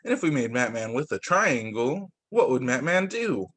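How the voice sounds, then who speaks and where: Husky young man reading to kids. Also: medium pitch, normal speed. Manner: sing-song, expressive storybook reading with rhymes. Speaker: preschool teacher reading picture books. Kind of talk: read-aloud story time for young children. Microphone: video call.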